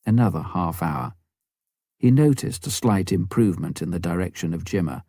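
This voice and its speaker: A man narrates a story in a low, mellow voice. His delivery is measured and dramatic, with deliberate pauses, and the recording is dry and close. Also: no echo. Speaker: audiobook reader.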